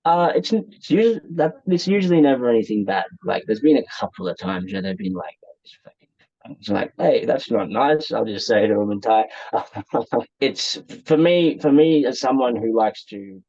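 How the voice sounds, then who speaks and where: A man's voice: mid-range voice, animated, moderate pace, gravelly, warm tone, dry recording. Young man, easygoing interview guest, dry close sound, muffled lo-fi. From Australia. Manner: easygoing, rambling with restarts. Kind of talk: casual conversation.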